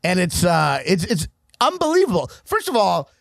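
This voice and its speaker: Animated, excitable young man. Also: sits high, moderate pace, gravelly, no room sound, clean studio sound. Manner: excitable, rambling, laughs often. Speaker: podcast co-host. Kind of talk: casual movie chat.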